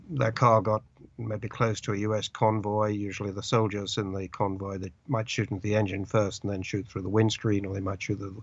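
A British journalist in an interview, an older man who speaks haltingly and searches for words, with many uh's. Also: lowish voice, no echo. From Britain. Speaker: journalist guest. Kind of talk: interview over the phone. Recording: dull lo-fi line, dry.

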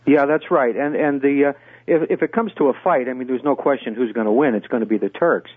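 An older man calls in as a radio guest, speaking in a measured way and often pausing on fillers and restarts. His voice comes through a tinny, narrow phone line with dry, close sound. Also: mid-range voice, husky, dry recording. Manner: measured, many hesitations and restarts. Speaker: guest, former intelligence officer. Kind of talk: radio interview. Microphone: phone call.

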